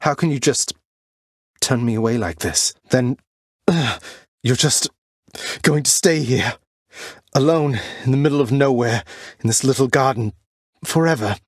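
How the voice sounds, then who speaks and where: A man playing an earnest, defiant young prince of the underworld in a video game, speaking in formal, old-fashioned wording with halting, heartfelt delivery. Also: mid-range voice, dry recording, clean studio sound, close to an everyday voice. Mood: hurt, pleading. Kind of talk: video game character dialogue, dramatic acting.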